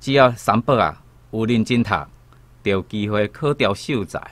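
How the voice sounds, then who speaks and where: A man with a Taiwanese accent playing a reasonable gentleman, a placating father in a radio drama. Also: fairly low pitch, dry recording, natural voice. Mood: placating, advising. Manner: measured, reasoning.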